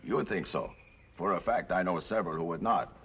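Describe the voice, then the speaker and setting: An actor playing a grateful, wry Mexican outlaw of about forty-five in an old TV western, speaking formally and floridly with a Mexican accent. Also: male voice, sits low, animated, fairly quick. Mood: amused, warm. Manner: florid, formal speech sprinkled with Spanish. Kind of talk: 1950s television western acting. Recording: muffled, noisy old TV western soundtrack.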